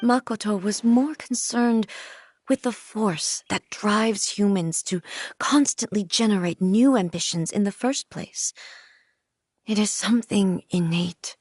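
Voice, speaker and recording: A woman voicing a dignified female ruler who speaks in a measured, formal and reflective way, with a husky voice. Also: medium pitch, darkish tone, no room sound, clean studio sound.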